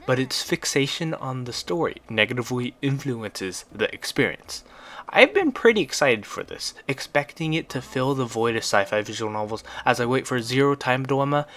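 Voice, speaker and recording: A man reads a scripted review in the role of a video game critic. His voice sits at a medium pitch, and he delivers prepared narration throughout. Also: a bit bright.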